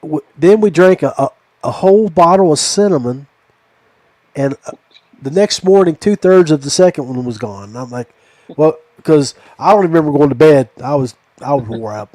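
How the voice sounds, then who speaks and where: A man's voice: fairly high pitch, lively intonation, moderate pace, darkish tone, no room sound. A man chatting casually as a podcast host, telling stories in a rambling, folksy way. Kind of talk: casual chat, storytelling.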